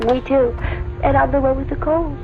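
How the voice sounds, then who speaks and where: An actress playing a grumpy, matter-of-fact wife with a cold in a radio commercial. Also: female voice, high voice, steady tone, moderate pace. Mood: grumbling, insistent. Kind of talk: 1970s radio ad dialogue. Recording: dull old radio, music behind.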